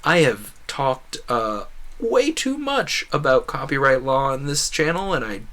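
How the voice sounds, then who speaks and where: A man's voice: mid-range voice, very animated, a little slow, fairly bright tone. A man chatting casually as he streams a video game, musing aloud and often rephrasing himself mid-thought. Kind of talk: casual rambling stream commentary over gameplay.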